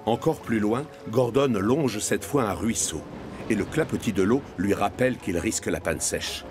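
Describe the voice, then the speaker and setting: A man narrating a children's cartoon from a script, as an expressive storyteller. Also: on the low side, normal speed. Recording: music behind the voice.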